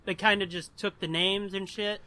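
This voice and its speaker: A man with a high, bright voice, recorded dry. He chats casually as a podcast co-host, informal and blunt, swearing freely as he talks pop culture. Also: fairly even intonation, normal speed, no room sound. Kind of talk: casual pop-culture chat, reading listener questions.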